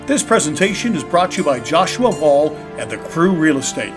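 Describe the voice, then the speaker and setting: A man's voice: fairly high pitch, sing-song, normal speed. Male radio-style announcer over music. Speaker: announcer and narrator host. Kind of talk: old-time radio style announcing, scripted. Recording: music under the voice.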